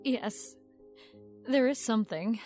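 A woman plays a young ambitious actress with a high voice. She speaks with earnest, overdramatic innocence over cool scheming, in radio play style, faint music underneath. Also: takes it slowly, darkish tone. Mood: calculating. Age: young adult. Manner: earnest, self-dramatizing. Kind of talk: audio drama acting.

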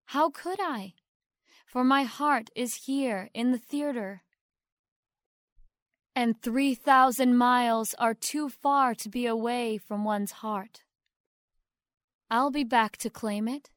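A poised young actress in an audio drama played by a woman speaks in a high, slow voice. She is formal and demure, sweetly grateful with a calculated polish, pausing deliberately as in an acceptance speech. The sound is close and dry. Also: no echo. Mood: tender, earnest.

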